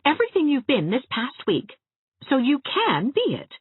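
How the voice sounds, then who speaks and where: A woman's voice: high voice, moderate pace, dry recording, pitched above the performer's own voice. Woman voicing an eager, bubbly young woman. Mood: enthusiastic. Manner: bright, lively, persuasive. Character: cheerful, eager young woman, warm and coaxing. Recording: thin, phone-like narrow sound.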